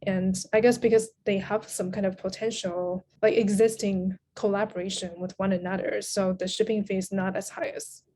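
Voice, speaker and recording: A woman's voice: medium pitch, little pitch movement, normal speed, hardly any pauses, mellow, slight background noise. A woman from Taiwan, a native Mandarin speaker, talking in English as a guest in a casual interview. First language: Mandarin Chinese. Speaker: podcast guest.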